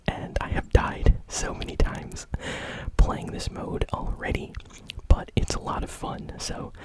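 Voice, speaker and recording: A host whispering casual, relaxed video game news in a breathy, unvoiced ASMR style. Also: poor audio. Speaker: host of gaming news show.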